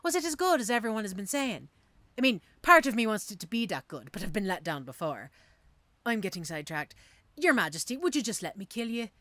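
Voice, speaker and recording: A woman's voice: mid-range voice, very animated, fairly quick, a bit bright, dry recording. A woman playing a cocky, street-hardened female mercenary assassin in an audio roleplay, with a teasing, sardonic, theatrical manner. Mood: wry, teasing. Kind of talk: audio roleplay drama acting.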